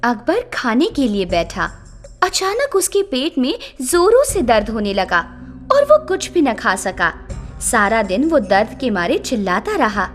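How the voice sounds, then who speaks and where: A woman's voice: a little high, big pitch swings, a little slow. A woman narrating a scripted story for children. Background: background music.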